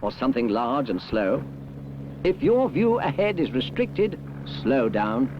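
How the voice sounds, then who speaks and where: A man's voice: mid-range voice, very animated, a little slow. A British man narrating a 1970s road safety film in a wry, advisory way. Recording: muffled, noisy old film soundtrack.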